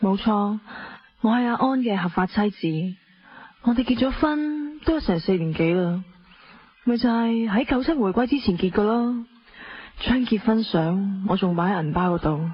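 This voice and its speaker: A woman's voice: mid-range voice, animated, moderate pace, slightly rough. An actress with a Hong Kong accent playing a spoiled, playful young wife in her twenties, telling her story in a radio drama. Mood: breezy, candid. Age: young adult. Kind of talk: radio drama acting, first-person narration. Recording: dull lo-fi audio with faint noise.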